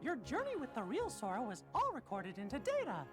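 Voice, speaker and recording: A man voicing a small, kindly cartoon mouse king with a squeaky falsetto, earnest and encouraging in a game cutscene. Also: wildly swooping pitch, a little fast, faint music behind, full character voice. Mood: earnest explaining.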